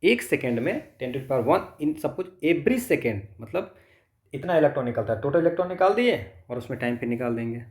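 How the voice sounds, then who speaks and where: Male tutor, Indian accent, mid-range pitch. Explains problems step by step, asking and answering his own questions. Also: sing-song, a little fast, darkish tone. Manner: thinks aloud, poses questions and answers them himself. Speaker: physics tutor. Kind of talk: step-by-step exam problem lesson, mixing Hindi and English.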